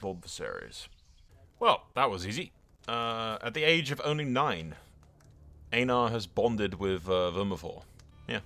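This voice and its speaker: Male gaming host, lowish bright voice, blokey and foul-mouthed, chatty playthrough with music behind him. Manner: sweary, blokey, addressing viewers as lads. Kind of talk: casual game playthrough commentary.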